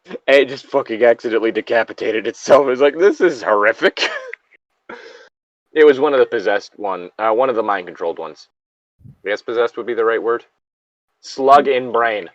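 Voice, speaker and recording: A man's voice: mid-range voice, lively intonation, normal speed, pauses often, no room sound, lo-fi sound. Man bantering casually, dry close sound. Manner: crude, sweary, given to laughing. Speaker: co-host of a group creepypasta reading. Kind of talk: casual banter with friends between read-aloud story passages.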